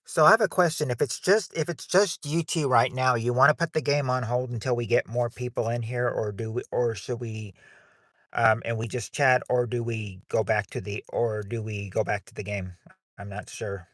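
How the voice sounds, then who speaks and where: A man's voice: medium pitch, steady tone, moderate pace, a bit bright, no echo. A man hosting a livestreamed game night, chatting loosely and often restarting his sentences. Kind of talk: casual chat while hosting a game.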